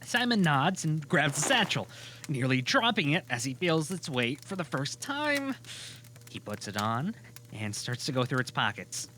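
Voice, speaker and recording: A man narrating a scripted audio drama as a storyteller, deliberate and given to dramatic pauses. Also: on the high side, big pitch swings, pushed and bright, slight background noise.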